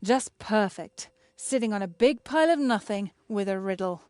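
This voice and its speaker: An actress playing a determined, assertive young heroine in a game cutscene. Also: female voice, mid-range voice, lively intonation, normal speed, a little mellow, no echo, lightly acted. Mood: impatient. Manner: direct, assertive. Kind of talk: scripted game cutscene acting.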